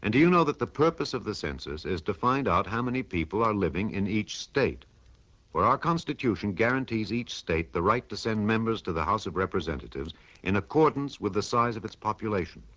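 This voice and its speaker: A male announcer reads a scripted public service message in 1950s radio style. His lowish voice rises and falls widely. He sounds instructive, posing questions to the listener. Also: lively intonation, brisk, fairly bright tone. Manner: didactic, rhetorical questioning.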